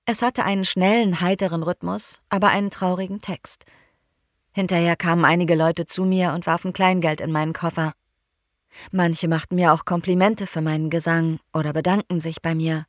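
Woman reading a novel out loud, low-ish voice, calm and even, dry close sound. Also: moderate pace, no room sound. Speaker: audiobook narrator. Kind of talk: first-person novel narration.

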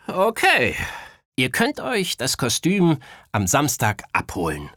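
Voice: medium pitch, huge pitch swings, takes it slowly, fairly bright tone, no echo, clean studio sound, pitched above the performer's own voice, exaggerated voice. A storyteller voicing a businesslike twelve-year-old boy tailor in a children's audiobook.

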